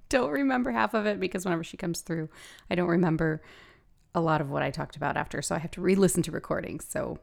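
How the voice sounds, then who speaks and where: A woman with a gravelly voice hosting a podcast, talking casually and haltingly as she thinks aloud. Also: on the low side, darkish tone. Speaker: podcast host and hypnosis practitioner. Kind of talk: casual solo podcast talk.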